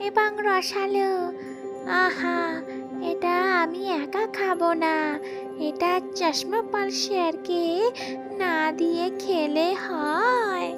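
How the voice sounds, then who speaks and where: A woman voicing a bossy, greedy female ghost schoolteacher in a children's cartoon, with drawn-out, exaggerated delivery. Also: extremely high pitch. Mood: pleased. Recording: loud music behind the voice.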